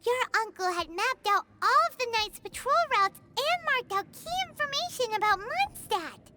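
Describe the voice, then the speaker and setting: A woman voicing a tiny childlike fairy companion in a video game, squeaky, chirpy and cheeky. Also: expressive, normal speed, pushed and bright, heavily acted. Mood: matter-of-fact. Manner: speaks of herself in third person, chirpy.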